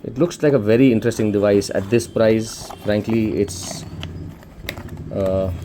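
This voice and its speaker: Low, mellow Indian man presenting a product. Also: slight background noise. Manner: informal, explanatory, step-by-step. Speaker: shop presenter demonstrating a product. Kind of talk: casual unboxing presentation.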